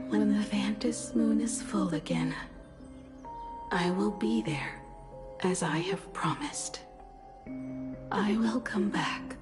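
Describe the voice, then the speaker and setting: A woman playing a frail, earnest young heroine in a video game, speaking haltingly with breathy emotion. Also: medium pitch, close to an everyday voice. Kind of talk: dramatic video game voice acting.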